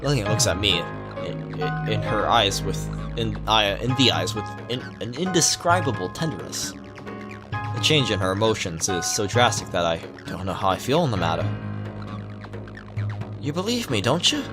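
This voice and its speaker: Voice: sits low, moderate pace, music in the background. A let's player reading a visual novel aloud dramatically with casual asides, stumbling over words and correcting themselves.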